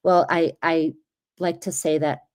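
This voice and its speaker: A woman's voice: low voice, steady tone, measured pace, smooth. A woman whose first language is Armenian, chatting casually and greeting viewers as a stream host. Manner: bubbly, greets viewers mid-chat. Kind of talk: casual chat.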